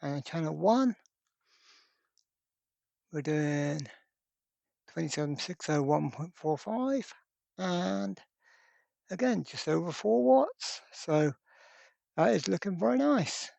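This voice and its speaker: Electronics hobbyist presenter, gravelly fry, dry close sound, casual step-by-step explaining. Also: medium pitch, animated, slow, pauses often, darkish tone, no room sound. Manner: informal, thinking aloud step by step. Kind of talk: casual workbench demonstration and explanation.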